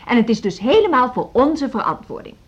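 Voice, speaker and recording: An actress playing a combative, stubborn music teacher and union militant in a radio drama, curt and unyielding. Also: female voice, fairly high pitch, big pitch swings, brisk, breathy edge. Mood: defiant. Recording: dull lo-fi radio play recording.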